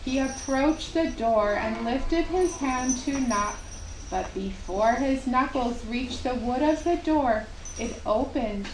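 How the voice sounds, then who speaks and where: A woman narrating a children's puppet show story with gentle, deliberate scripted phrasing. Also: sits high. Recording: echoey, distant mic, faint hiss.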